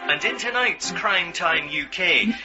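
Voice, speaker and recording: Solemn TV crime presenter, over music. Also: male voice, sits high, animated, unhurried, muffled recording. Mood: grave. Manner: solemn, dramatic presenter delivery. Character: earnest television crime-appeal presenter. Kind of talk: scripted TV report within a radio drama.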